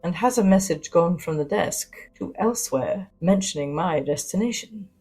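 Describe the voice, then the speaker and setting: A woman's voice: lowish voice, expressive, normal speed, a little mellow, breathy edge, faint music behind. A woman playing an arch, teasing, imperious socialite spy in a science fiction audio story. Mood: sly. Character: flirtatious, imperious socialite woman spy, sly and self-assured. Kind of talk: first-person sci-fi spy audio fiction.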